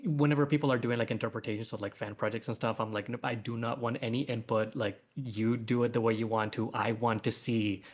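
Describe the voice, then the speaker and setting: A man's voice: medium pitch, steady tone, fairly quick, few pauses. A man chatting casually as a guest comic creator, rambling along in an earnest, self-effacing way. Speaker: guest comic author and artist. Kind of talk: casual livestream chat.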